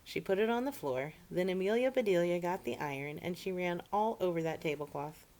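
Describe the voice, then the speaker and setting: Female story-time reader, fairly low voice, expressive picture-book narration with asides to children. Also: a little fast.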